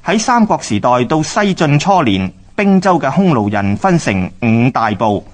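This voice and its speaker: A man's voice: mid-range voice, big pitch swings. Hong Kong Cantonese male radio history narrator. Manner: measured, explanatory.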